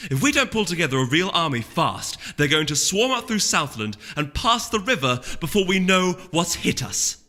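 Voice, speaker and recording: An imperious noble mare duchess, acted out by a male reader, haughty and exasperated. The voice is pushed high and bright, with emphatic storybook delivery in long reverb. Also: big pitch swings, normal speed, hardly any pauses. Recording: long reverb, music underneath.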